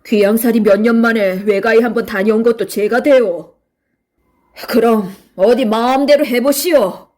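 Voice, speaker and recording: A woman's voice: fairly high pitch, moderate pace, a little mellow, natural voice. A woman playing an upright male scholar in exile, firm and defiant, in a children's storybook. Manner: firm, stern.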